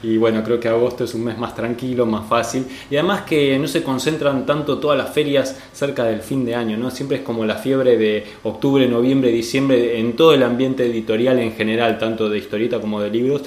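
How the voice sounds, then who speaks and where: A man's voice: medium pitch, fairly even intonation, fairly quick, few pauses, darkish tone, roomy sound. A man with an Argentine accent hosting a podcast, chatting casually about comics. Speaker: podcast host.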